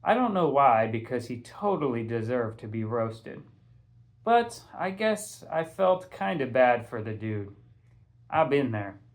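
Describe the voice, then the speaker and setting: A man reading a first-person story aloud in an expressive, casual, conversational way. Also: mid-range voice, a little slow. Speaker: audiobook reader, teacher.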